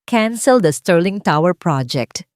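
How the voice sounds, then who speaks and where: Woman playing a cold, vengeful businesswoman, lowish voice. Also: animated, unhurried, darkish tone, dry recording, studio quality. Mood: cold, commanding. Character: cold, vengeful wealthy businesswoman, grieving mother. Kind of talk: dramatized audio story dialogue.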